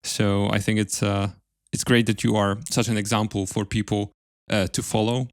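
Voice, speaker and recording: Male podcast host, lower-pitched voice, close dry recording. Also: little pitch movement, normal speed, no echo. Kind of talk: podcast interview, with scripted sponsor read.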